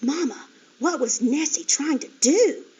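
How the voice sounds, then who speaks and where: A woman's voice: sits high, measured pace, warm tone, pitched above the performer's own voice. A woman voicing a curious young female piglet in a storybook reading. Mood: questioning. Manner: eager, questioning. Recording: dull lo-fi recording.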